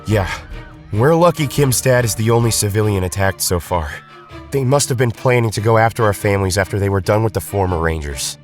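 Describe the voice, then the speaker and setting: A guarded male team leader acted by a man in a superhero audio drama. He is low-pitched, serious and clipped, over faint music. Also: animated, brisk, natural voice. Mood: grim.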